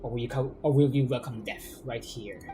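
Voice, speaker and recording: A man voicing a grandiose, vengeful spirit that guards a ruined bunker, reading its lines in a slow, theatrical, declamatory way. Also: medium pitch, mellow, slight background noise, natural voice.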